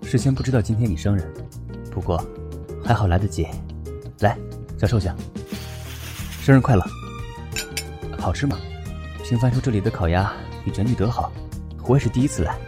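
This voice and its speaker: An actor playing a gentle, considerate male teacher in a radio drama. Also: fairly low pitch, normal speed, long pauses, lightly acted. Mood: warm, celebratory. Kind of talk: Chinese radio drama acting. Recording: music behind the voice.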